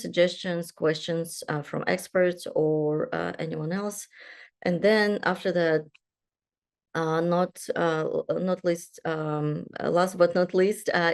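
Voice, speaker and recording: A young adult woman from Kazakhstan, a doctoral student, moderating an online panel and introducing speakers, with many fillers and restarts. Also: on the low side. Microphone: video call.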